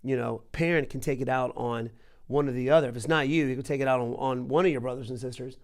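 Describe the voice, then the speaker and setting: Creaky-voiced man telling casual stories. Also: medium pitch, quick pace. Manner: animated, acts out quotes, repeats himself for emphasis. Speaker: podcast host. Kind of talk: casual storytelling chat.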